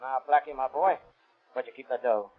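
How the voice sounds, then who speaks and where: Male gangster played by a man, cocky and ruthless, rapid clipped slang, tinny old-radio sound. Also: medium pitch, lightly acted. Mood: coolly threatening. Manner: clipped tough-guy slang. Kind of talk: 1930s radio crime drama acting. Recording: tinny, band-limited 1930s radio recording.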